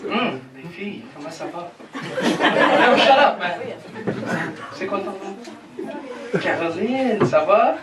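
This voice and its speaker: A man's voice: high-pitched, animated, takes it slowly, long pauses. A male stand-up comedian whose first language is English, teasing the crowd with crude, swearing banter and admitting he is nervous. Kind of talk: stand-up set in a comedy club. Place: comedy club stage. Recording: echoey club from far off, noisy.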